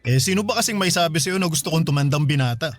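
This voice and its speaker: An actor with a Filipino accent playing a good-humoured, hardworking bachelor who gives wry retorts in casual radio drama banter. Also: male voice, fairly high pitch, expressive, quick pace, soft background music, lightly acted. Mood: mildly protesting. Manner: wry, joking retorts among friends. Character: good-humoured, hardworking bachelor son, single male friend.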